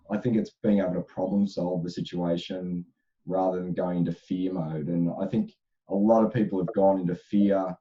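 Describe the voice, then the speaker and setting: This is a man speaking as an HR expert on a panel. His voice is very deep and dark, with a slight crackle from a sore throat. The audio sounds dull and lo-fi, and he answers thoughtfully, restarting phrases often. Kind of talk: casual panel discussion.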